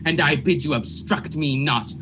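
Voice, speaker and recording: A man's voice: on the high side, moderate pace, music in the background, natural voice, low-quality recording. A man playing an earnest, officious court officer with a rustic, formal manner in a radio play. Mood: alarmed. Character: Cheever, earnest, officious male court officer serving warrants.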